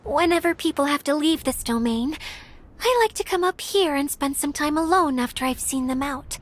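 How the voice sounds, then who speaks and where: A woman voicing a gentle, modest young female guide who speaks earnestly and hesitantly in a video game cutscene. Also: high-pitched, animated, moderate pace. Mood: gentle, reflective. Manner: soft-hearted, earnest, hesitant. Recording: background music under the voice.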